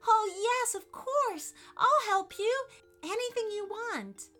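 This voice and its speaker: Woman voicing high, eager storybook girl. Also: animated, slow pace, bright, forceful tone, faint music behind, heavily acted. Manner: gushing, breathless wonder, chatty when nervous. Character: friendly, eager, nervous, polite girl out exploring. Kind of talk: children's storybook character voice.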